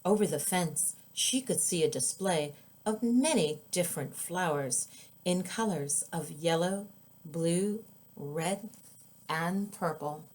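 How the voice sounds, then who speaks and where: A woman reading her own children's book aloud as storybook narration, with expressive, deliberate, drawn-out phrasing. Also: on the low side, poor audio.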